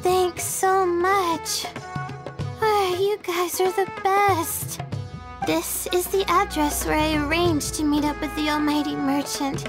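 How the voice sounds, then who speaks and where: A woman voicing a sleepy, gentle young student in a video game, with a hesitant manner. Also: extremely high pitch, fairly even intonation, slow, full character voice. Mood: grateful, tired. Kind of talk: video game character acting. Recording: music playing behind the voice.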